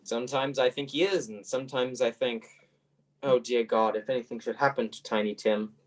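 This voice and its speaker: A man's voice: mid-range voice, fairly even intonation, normal speed, lightly acted. A man playing a kindly, worrying old grandfather in a radio play. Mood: worried.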